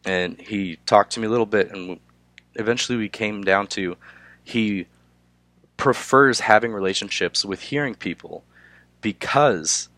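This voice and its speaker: Hoarse young man with a cold. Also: deep voice, a bit bright, no room sound. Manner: halting, many filler "uh"s. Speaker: student presenter. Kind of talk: class presentation.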